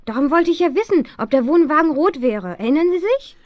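An eager teenage girl detective in a children's radio play. Her voice is high and youthful, with an earnest, questioning way of talking. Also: lively intonation, brisk, no room sound, dull audio. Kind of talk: German radio play acting for young listeners.

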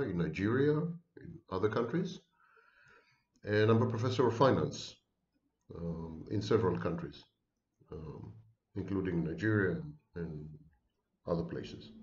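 A man's voice: fairly low pitch, a little mellow. A middle-aged man, talking to camera at home in an ironic, lecturing way. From Israel. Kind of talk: monologue to camera. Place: home room. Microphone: webcam. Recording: roomy, dull webcam sound.

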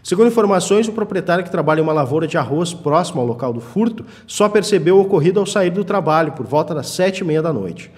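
Man, fairly high pitch, scripted reporting, reverberant room. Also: lively intonation, fast, hardly any pauses. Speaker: news reporter. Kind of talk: scripted news narration. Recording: long reverb on voice-over.

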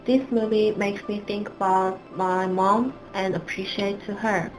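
A woman's voice: medium pitch, steady tone, measured pace, music in the background, low-quality recording. A woman answering interview questions earnestly, a little hesitant. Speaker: student interviewee.

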